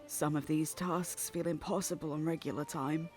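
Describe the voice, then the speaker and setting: Irritable sick student for audio drama, played by a man: dry, stubborn, higher-pitched, soft music underneath. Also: steady tone, normal speed, lightly acted. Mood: stubborn, testy.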